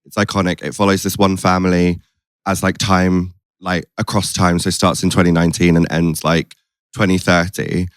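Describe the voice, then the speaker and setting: A man's voice: low voice, little pitch movement, moderate pace, clear, resonant tone, no room sound, clean studio sound. A man hosting a podcast in casual, effusive chat, peppering his talk with "like". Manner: effusive, gushing, frequent "like". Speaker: podcast host.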